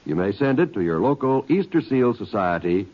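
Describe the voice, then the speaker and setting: A man's voice: lowish voice, big pitch swings, moderate pace. A male announcer reading a formal, earnest charity appeal in 1950s radio style. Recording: muffled old radio recording.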